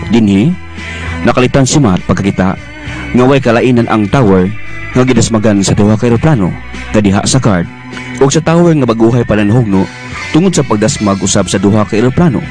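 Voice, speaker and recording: Male narrator, Cebuano accent, scripted radio drama storytelling, lowish pitch, music underneath. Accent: Cebuano Filipino. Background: background music.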